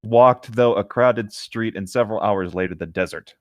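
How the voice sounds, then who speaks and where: A man's voice: fairly low pitch, normal speed, no room sound, studio quality. A man reading a story aloud as co-host, slipping easily into casual, joking chat. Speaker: co-host of a fan fiction reading show.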